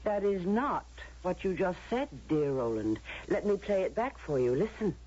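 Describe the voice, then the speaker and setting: A menacing judge of a utopian world, formal and falsely courteous. The voice is husky and fairly high with sweeping intonation, heard on a dull old radio drama recording. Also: male voice, very animated, moderate pace, a little mellow, exaggerated voice. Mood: courteous menace. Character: smooth-mannered, menacing judge of a utopian society.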